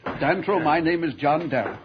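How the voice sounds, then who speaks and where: Man acting an earnest middle-aged scientist, amiable, curious, lively swooping pitch, vintage radio serial, dull recording. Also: moderate pace, background noise, natural voice. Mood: relieved, genial. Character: amiable, curious Earth scientist and father, space expedition leader.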